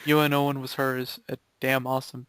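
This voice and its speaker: A man chatting casually in a group, jokey and quick to jump in. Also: medium pitch, level tone, a bit bright, smooth, no echo, clean studio sound. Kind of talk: casual group chat, joking.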